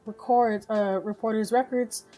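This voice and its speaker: A just-woken woman hosting a live news stream from home, reading articles haltingly, stumbling and swearing as she comments. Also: a little high, fairly even intonation, warm tone, soft background music. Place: home, relatives talking downstairs.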